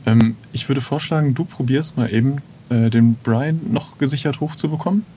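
A man's voice: mid-range voice, normal speed, mellow, slight background noise. A man playing an improvised audio adventure, casually thinking aloud and hesitantly announcing his moves. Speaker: player in an improvised adventure game.

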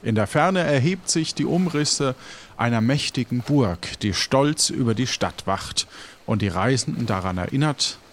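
A man's voice: medium pitch, lively intonation, unhurried. A man narrating an improvised audio adventure as game master, with faint noise behind him.

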